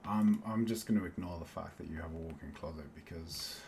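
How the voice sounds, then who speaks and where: A man with a soft South London accent talks in a rambling, easygoing way while he games. His voice sits a little low, and he laughs often between thoughts. Faint noise hums behind him. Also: moderate pace, gravelly. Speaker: Minecraft let's-play host. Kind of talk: casual chat while playing.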